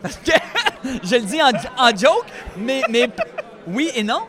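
High-pitched man reflecting amid a crowd. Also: sing-song, takes it slowly, fairly bright tone. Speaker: interviewee. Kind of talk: casual reflective interview talk. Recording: busy crowd noise around.

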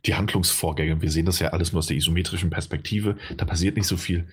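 A man's voice: low-pitched, steady tone, quick pace, breathy edge. A man chatting casually about a game he is playing, rambling with many fillers and restarts. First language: German. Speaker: game reviewer. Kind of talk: casual podcast chat.

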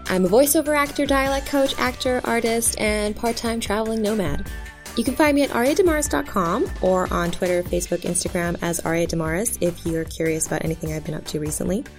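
A woman's voice: mid-range voice, quick pace, soft background music. A woman voice actor speaking casually to listeners as she introduces herself and her work. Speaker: voice actor and dialect coach.

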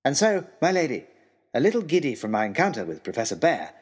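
An actor playing an eager, long-suffering young gentleman adventurer, reading his letters aloud in an earnest, wry, genteel way in a comic period audio drama. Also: medium pitch, quick pace, husky. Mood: giddy, fond. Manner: expressive, earnest, wryly self-deprecating, genteel.

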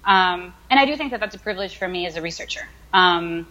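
A woman's voice: lowish voice, expressive, quick pace, pushed and bright, lo-fi sound. A young woman in her twenties from the United States, talking casually as an interview guest, with many fillers as she thinks aloud. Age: 20s. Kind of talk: casual conversation.

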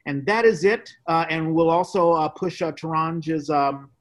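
A man hosting a podcast, reading out announcements in an informal, halting way. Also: fairly high pitch. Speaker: podcast host. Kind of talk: casual podcast sign-off and announcements.

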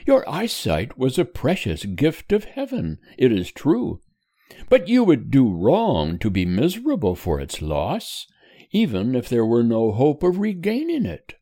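A man reading the part of a gentle, cheerful mother consoling her son, tender and soothing in an audiobook. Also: mid-range voice, huge pitch swings, a little slow, dry recording, studio quality, pitched above the performer's own voice, full character voice.

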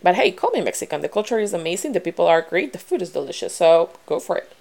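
A young woman from Ecuador with a strong Ecuadorian Spanish accent, talking earnestly to her viewers, pausing and restarting as she goes. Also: fairly low pitch, creaky. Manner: earnest, frequent restarts and fillers, grows animated. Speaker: vlogger. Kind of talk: personal talk to camera.

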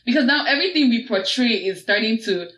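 A woman's voice: on the high side, expressive, fast talker, fairly bright tone. A woman from Nigeria hosting a casual podcast chat, chatty and opinionated as she leads the discussion. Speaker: podcast host. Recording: echoey room.

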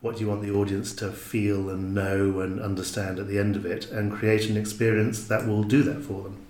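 A man's voice: fairly low pitch, little pitch movement, normal speed, a little mellow. A man explaining things casually as a guest designer on a podcast. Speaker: interview guest, exhibition designer. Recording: roomy echo.

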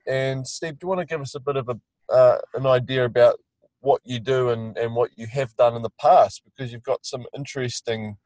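A New man hosts a school web conference while sitting in a vehicle. His voice is mid-pitched and the sound is close and dry. He speaks casually, with restarts and fillers. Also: no echo, poor audio. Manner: friendly, loose, with fillers and restarts. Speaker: field trip teacher hosting a web conference. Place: inside a vehicle.

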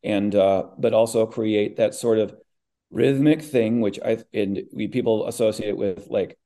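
A man's voice: lowish voice, fairly even intonation, a little slow, warm tone. A man from the American Midwest, a voice actor, talking about his work as an interview guest, thoughtful and rambling with many restarts.

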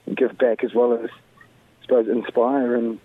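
A man from Sierra Leone calling in by phone to a radio show, speaking thoughtfully and pausing to find his words. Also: mid-range voice, level tone, dry recording. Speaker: caller. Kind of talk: phone interview. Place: at a hockey game. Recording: tinny phone line.

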